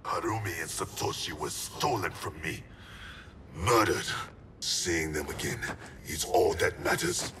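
A man voicing a vengeful undead ninja warrior in a video game cutscene, grim and measured. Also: low-pitched, lively intonation, background noise. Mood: grieving.

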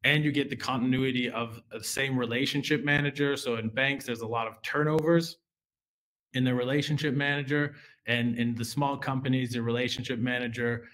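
A young American man talks through a finance webinar from his office webcam. His pitch sits at an ordinary middle for a man. He speaks conversationally, with frequent fillers and small restarts. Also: fairly even intonation, brisk, fairly bright tone. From United States. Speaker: junior relationship manager. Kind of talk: webinar presentation.

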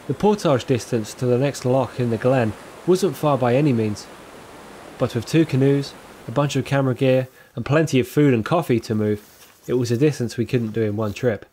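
A young man calmly narrating his own outdoor journey as a scripted documentary voice-over, with a measured, reflective delivery. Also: medium pitch, slight background noise. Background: music playing under the voice.

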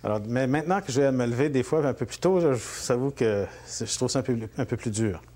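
A Franco-Ontarian man in his forties answers questions in his own living room. He talks at an ordinary pitch and keeps stopping to restart his phrases, with plenty of fillers along the way. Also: quick pace, dark tone. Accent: Franco-Ontarian French. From Northern Ontario, Canada. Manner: hesitant, many fillers and restarts. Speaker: interview guest, politician. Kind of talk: relaxed interview conversation.

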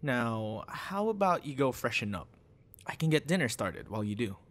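A man playing a cute, teasing young boyfriend with a hidden sinister side in an ASMR audio roleplay, soft-spoken and casual. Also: medium pitch, sing-song, normal speed. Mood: playful, affectionate.

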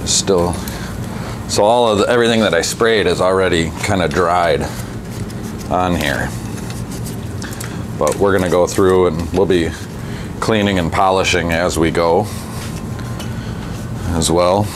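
A man working on a bike in his workshop, talking casually through each step with a relaxed, chatty manner. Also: low voice, a little slow, pauses often. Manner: relaxed, chatty, thinks aloud with fillers. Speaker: bike mechanic and channel host. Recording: workshop noise behind the voice.

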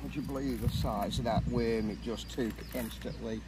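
A middle-aged man from England who vlogs his fishing, chatting to a camera on the bank of a lake outdoors, excitable and chuckling as he calls out his catches. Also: medium pitch, moderate pace, heavy background noise. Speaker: angler vlogger. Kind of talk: casual fishing commentary to camera. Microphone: camera close by on the bank.

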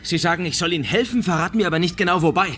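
An actor playing a polite, uneasy young computer expert in a radio crime drama, hesitant and guarded, sometimes stammering. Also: male voice, sits high, very fast, fairly bright tone. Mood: uneasy, firm. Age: young adult.

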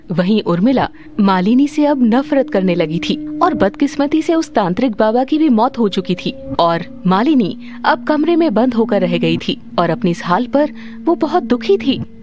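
A woman's voice: on the high side, a little mellow, muffled recording. A woman narrator reading a scripted story.

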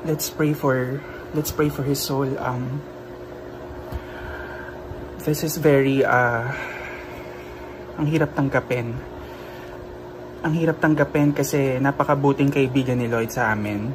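A young adult man with a Filipino accent, grieving and emotional, speaking haltingly into a phone in a subdued, informal personal message. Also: medium pitch, fairly even intonation. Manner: halting, subdued, heavy pauses. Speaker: vlogger. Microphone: phone held close. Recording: clear noise like air conditioning or traffic.

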